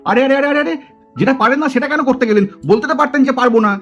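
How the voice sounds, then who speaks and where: A man's voice: very high-pitched, very fast. A man voicing a flustered, guilty but caring young village uncle doll in a puppet cartoon, often repeating his words. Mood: alarmed. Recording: music under the voice.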